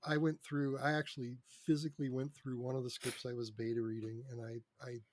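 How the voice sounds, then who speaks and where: A man speaking as a podcast guest in casual conversation, halting but blunt and wry. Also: medium pitch, flat delivery, dry recording. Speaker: podcast guest, script reader and critic. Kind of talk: casual interview chat.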